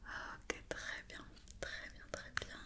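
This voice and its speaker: A woman whispering an ASMR roleplay as a doctor, giving soft, repetitive, reassuring instructions. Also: takes it slowly.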